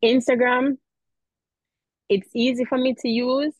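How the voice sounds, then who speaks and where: A woman with a Jamaican accent, chatting as a guest in a casual interview, animated and laughing often. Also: a little high, measured pace, no echo. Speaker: guest, mom influencer.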